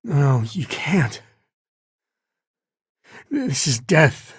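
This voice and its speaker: An actor voicing a roguish, battle-worn spacefaring frontier ranger in a video game, plain-spoken and folksy with a husky voice. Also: male voice, on the high side, sing-song, drawn-out words, no echo, studio quality, exaggerated voice. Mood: stern, angry. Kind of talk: scripted video game voice lines.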